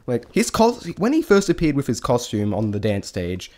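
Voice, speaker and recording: A man talks through his thoughts on a show in a loose, unscripted way. His voice sits at an average male pitch. He hesitates and doubles back on sentences, delivering frank opinions in informal language. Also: wildly swooping pitch, darkish tone. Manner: halting, rambling, often trailing off and restarting. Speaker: vlogger reviewing a stage show. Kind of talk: casual rambling spoken review.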